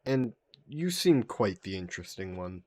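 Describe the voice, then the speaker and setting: Man acting a suave, low-voiced sea monster gentleman. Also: sing-song, unhurried, heavy background noise. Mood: flirty, curious. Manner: suave, mannered, formal phrasing, teasing. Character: flirty, vain sea monster posing as a gentleman traveler, turning menacing. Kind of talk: roleplay audio drama addressed to the listener.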